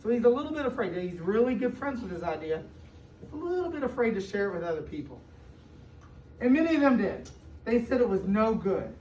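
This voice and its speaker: A woman's voice: medium pitch, big pitch swings, a little fast, warm tone, far from the mic. An American woman reads a storybook to young children in an echoey room, some way from the microphone. She stops to explain in simple words and asks questions, leaning on key words. The sound is slightly muffled with faint noise. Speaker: school superintendent reading aloud to children. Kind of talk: interactive picture-book read-aloud to young children. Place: indoor room with children present.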